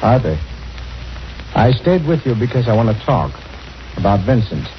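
An actor playing a level-headed but suspicious friend who takes charge, firm and persuasive, in old-time radio drama. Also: male voice, medium pitch, sing-song, moderate pace, a little hiss or hum, natural voice. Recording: muffled old radio recording.

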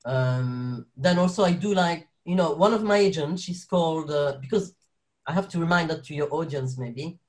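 A man's voice: fairly high pitch, normal speed, a little mellow. A young man with a French accent, a guest actor chatting in an interview, rambling with restarts and frequent laughs. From Belgium. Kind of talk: casual interview chat.

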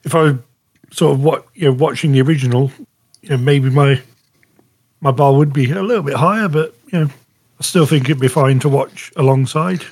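A man's voice: mid-range voice, brisk, darkish tone, slightly rough, no echo. A man co-hosting a podcast in casual conversation, speaking in a hesitant, hedging way. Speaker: podcast co-host. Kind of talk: casual chat.

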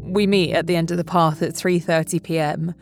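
A woman delivering scripted narration in a measured, clearly phrased reading manner. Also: fairly low pitch, steady tone, music in the background. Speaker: podcast announcer and reader.

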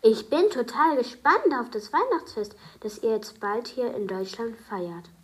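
A woman's voice: on the high side, sing-song, unhurried, low-quality recording. A woman playing a curious, enthusiastic girl who explains things carefully in a children's audio play. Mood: excited.

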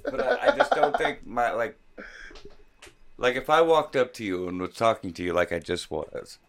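A man's voice: mid-range voice, wildly swooping pitch, normal speed, gravelly, a bit bright. A young man chatting casually on a podcast, rambling and swearing with frequent laughs. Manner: rambling, profane, laughs a lot. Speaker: podcast host.

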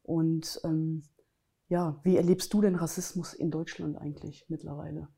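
A woman from Germany interviews her guest in a direct, emphatic way. Her voice sits a bit low and sounds mellow and warm, and she often backs up what her guest says. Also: level tone, moderate pace, vocal fry, husky. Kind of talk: conversational interview.

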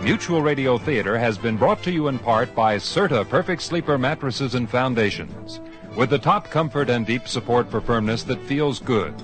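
A man's voice: medium pitch, expressive, moderate pace. A man reading a scripted radio advertisement as a sponsor announcer. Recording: clear music behind the voice.

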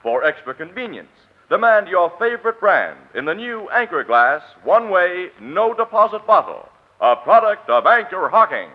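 A tinny old radio broadcast carries a male announcer with a fairly high voice. He swings widely in pitch as he delivers punchy, scripted 1940s commercial copy. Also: big pitch swings, moderate pace. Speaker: radio announcer reading sponsor ad.

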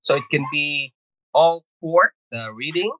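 Bright-voiced Filipino man, hesitant. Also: a little high, expressive, unhurried, a little hiss or hum, poor audio. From Philippines. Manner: hesitant, many fillers and restarts, laughs often. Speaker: podcast guest. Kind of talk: casual conversational interview.

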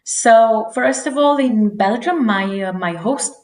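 A woman's voice: a little high, takes it slowly, a little mellow. A woman in her forties from Romania, giving earnest interview answers with frequent restarts. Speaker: guest. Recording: roomy echo.

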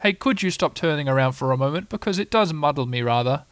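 Man doing an earnest, naive storybook bear, raised pitch. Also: expressive, fast talker, no room sound, close to an everyday voice. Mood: hesitant, earnest. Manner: hesitant, musing, naive. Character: simple, earnest, good-natured male toy bear.

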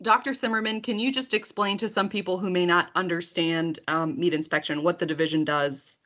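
A woman's voice: fairly low pitch, fairly even intonation, fairly quick, a bit bright. A woman hosting a podcast interview in a conversational style. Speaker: podcast host.

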